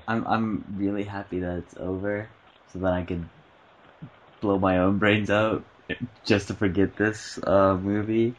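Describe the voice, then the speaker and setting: A man with a very deep voice chats casually as a film review panelist. The sound is slightly dull, like an internet voice call. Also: little pitch movement, a little slow.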